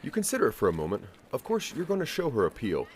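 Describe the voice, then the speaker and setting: A man narrating a scripted audio drama in the second person, with a measured storytelling delivery. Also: mid-range voice, wildly swooping pitch.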